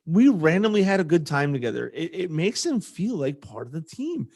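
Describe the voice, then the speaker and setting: A man's voice: on the high side, sing-song, moderate pace, vocal fry, a little mellow. A man hosting a podcast in casual, conversational chat, talking informally as an army sergeant. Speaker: podcast host.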